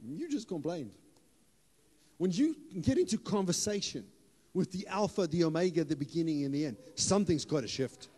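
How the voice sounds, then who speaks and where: A man, preaching a lively sermon on a church stage into a handheld mic, playful and building to loud emphatic bursts. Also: fairly high pitch, moderate pace, breathy edge. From South Africa. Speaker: pastor. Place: church hall stage with audience. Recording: hall reverb, live church PA.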